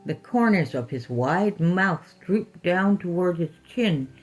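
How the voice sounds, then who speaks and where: Female horror narrator, low register and unhurried, expressive scripted read over a light music bed. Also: rough recording. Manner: deliberate, expressive storytelling.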